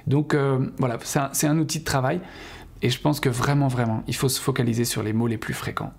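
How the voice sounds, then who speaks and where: A middle-aged French man talks straight to his viewers in an earnest, encouraging way, often stopping on fillers and restarting his sentences. His voice sits at an ordinary pitch, with a gravelly, husky grain to it. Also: fairly even intonation, brisk. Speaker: English teacher. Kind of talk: casual spoken lesson to camera.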